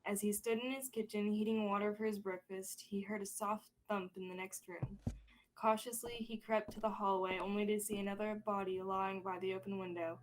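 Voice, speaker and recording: A woman's voice: medium pitch, flat delivery, normal speed, a little hiss or hum, low-quality recording. A young woman reading scripted narration as the storyteller of a student radio drama. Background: soft music.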